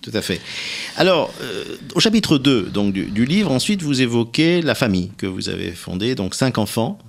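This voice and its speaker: A man hosting a radio interview, putting long, deliberate, prepared questions to his guest with some hesitation. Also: mid-range voice, sing-song, creaky, slight background noise. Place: radio studio.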